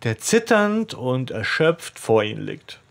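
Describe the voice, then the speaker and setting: Man chatting casually, medium pitch. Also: big pitch swings, a little slow, creaky. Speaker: podcast co-host. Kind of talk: casual chat, retelling an audio drama.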